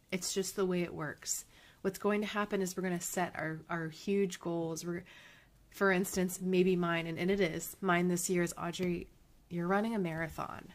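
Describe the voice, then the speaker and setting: A woman hosting her own podcast, talking in a casual, upbeat and encouraging way with a slightly nasal voice. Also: fairly low pitch. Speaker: podcast host. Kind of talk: casual motivational monologue.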